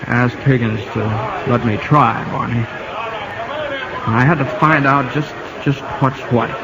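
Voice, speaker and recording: Muffled noisy 1950 radio recording, young male ballplayer, folksy, hesitant, self-doubting, mid-pitched, radio drama. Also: sing-song, takes it slowly, over a noisy crowd. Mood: sheepish, hesitant. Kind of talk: 1950s radio drama acting.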